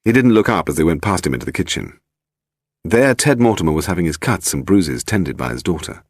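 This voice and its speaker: A man's voice: low voice, sing-song, a little fast, no room sound, clean studio sound. A man with an English accent reading a scripted mystery story as an audiobook narrator.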